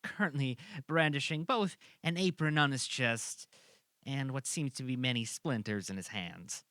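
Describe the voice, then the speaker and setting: A man's voice: mid-range voice, normal speed, fairly bright tone, clear, resonant tone, no echo, clean studio sound. A man narrating a scripted audio drama in an informal, amateur reading style.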